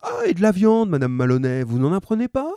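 A man's voice: high voice, wildly swooping pitch, brisk, warm tone, clean tone, dry recording, voice pushed up, full character voice. A man voicing a friendly, helpful male grocer in a read-aloud story. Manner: obliging and chatty.

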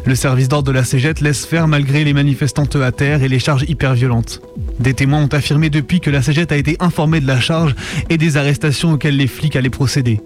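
A man's voice: mid-range voice, darkish tone. Guy with on radio, mid-range voice, informal host, kinda self-deprecating. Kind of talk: casual radio presenting and reading a statement aloud. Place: radio studio.

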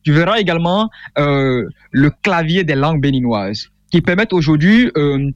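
A man's voice: on the high side, animated, normal speed, dry recording. Earnest young man, muffled line. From Benin. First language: Fongbe. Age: young adult. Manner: earnest, explanatory, many hesitations. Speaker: author guest. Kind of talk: interview conversation.

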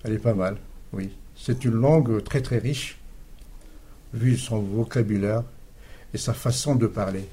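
A man's voice: on the low side, measured pace, pauses often, mellow, slightly rough. A man answering casually in an interview, speaking in a hesitant, reflective way. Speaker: school technical staff member. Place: recorded at a secondary school.

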